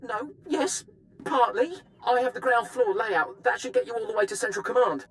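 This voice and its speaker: A woman's voice: fairly high pitch, normal speed, close to an everyday voice. A woman voicing an anxious young tech hacker in a video game, defensive and hesitant. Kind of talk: scripted game dialogue.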